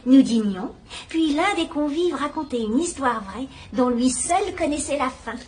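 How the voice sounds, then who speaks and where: A sharp-minded old spinster detective with a high, lively voice that swoops as she probes gently and wryly. She sounds gentle yet knowing, over a faint hiss. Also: unhurried. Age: older. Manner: gentle, wry, quietly probing. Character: shrewd elderly spinster sleuth, gentle and sharp. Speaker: amateur detective. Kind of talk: dubbed radio-style drama acting.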